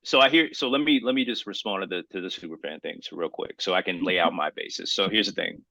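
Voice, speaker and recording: Bright-voiced man telling rambling stories. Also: low voice, fast, creaky, no echo. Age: 30s. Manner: rambling, emphatic storytelling with slangy phrasing. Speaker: podcast co-host. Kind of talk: casual podcast chat about hip hop.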